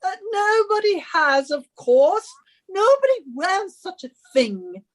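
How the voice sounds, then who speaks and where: A woman's voice: extremely high pitch, sing-song, slow pace, full character voice. A woman playing a bossy, haughty British wife in a radio suspense drama, with an imperious, theatrical delivery. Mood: scornful, impatient.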